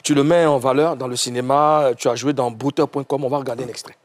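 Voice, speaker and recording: A man's voice: medium pitch, steady tone, fast talker, a little hiss or hum. A man hosting a talk show who asks probing questions, stammering through laughter at times. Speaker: host. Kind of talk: interview.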